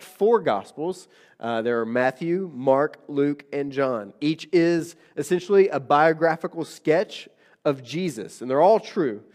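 A male pastor giving a conversational sermon, nasal from a recent illness, with frequent fillers and restarts. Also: a little high.

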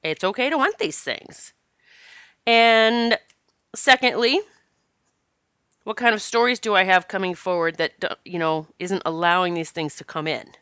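A woman's voice: mid-range voice, unhurried, long pauses, fairly bright tone, clean tone, dry recording, clean studio sound. A woman hosting her own podcast, stopped up from allergies, chatting in an encouraging way with fillers. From Northeast Pennsylvania. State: congested from allergies. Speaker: podcast host. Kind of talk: casual podcast talk.

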